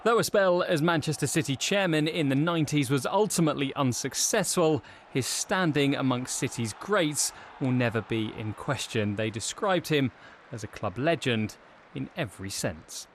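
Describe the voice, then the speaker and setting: A man's voice: mid-range voice, normal speed, slight background noise. A man reading a scripted news obituary package as a sports reporter.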